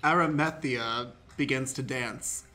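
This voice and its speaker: A man's voice: mid-range voice, unhurried. A man narrating stage directions as the stage manager of an improvised radio play. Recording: loud music under the voice.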